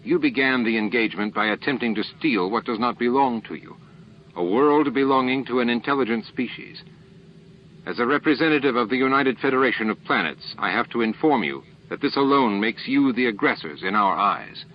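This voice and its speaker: A man's voice: mid-range voice, lively intonation, brisk, lo-fi sound, slight background noise, voice pushed up, lightly acted. A man voicing a stern, grave space station captain in an audiobook, indignant but controlled. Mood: firm, controlled.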